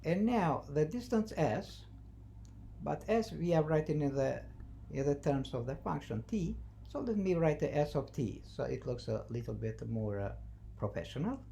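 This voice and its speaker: A non-native English speaker teaching math, thinking aloud haltingly and repeating phrases while writing out the steps. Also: medium pitch, expressive, creaky, mellow. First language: not English. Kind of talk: math lesson, working through a problem at the board. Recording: noisy, with a constant hum.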